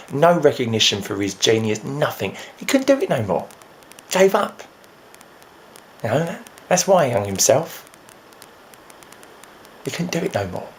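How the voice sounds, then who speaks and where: A man performing a dramatic stage monologue as a grieving, frustrated friend of a lost musician, speaking earnestly with halting repetition. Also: medium pitch, rough recording. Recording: faint noise behind the voice.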